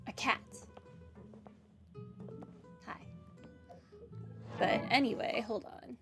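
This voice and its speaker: A woman's voice: on the high side, extremely slow. A woman giving casual, offhand playthrough commentary, thinking aloud as she plays. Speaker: gaming streamer. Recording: game music behind the voice. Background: clearly audible game music.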